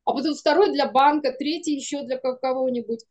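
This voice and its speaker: Female guest, thirties to forties, fairly high voice, informal and expressive conversational delivery. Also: a little fast. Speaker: guest, animation studio producer. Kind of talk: casual interview chat. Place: room indoors, video call.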